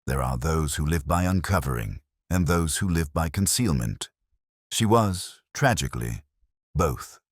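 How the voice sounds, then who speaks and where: A man playing a melancholy older English police inspector, delivering a measured, solemn, literary monologue in a radio drama. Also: very deep voice, expressive, slightly rough, dry recording, clean studio sound, exaggerated voice. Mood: brooding, wistful. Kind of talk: scripted first-person radio drama monologue.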